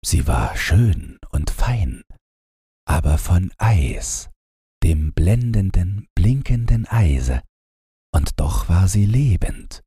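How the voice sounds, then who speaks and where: A man narrating a bedtime fairy tale as an audiobook reader, in a soothing, measured manner. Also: deep voice, sing-song, dark tone, slightly rough, no room sound, clean studio sound.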